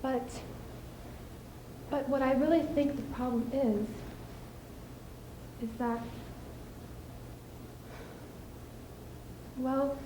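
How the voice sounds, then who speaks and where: High-pitched theatrical widow, played by a young woman. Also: steady tone, warm tone. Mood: earnest, reflective. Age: young adult. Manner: emphatic, theatrical, with deliberate pauses. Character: spirited, scheming widow, feisty and reflective. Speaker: student speech team performer. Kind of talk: theatrical dramatic monologue on stage. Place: indoor school showcase stage. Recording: echoey room, clearly audible background noise.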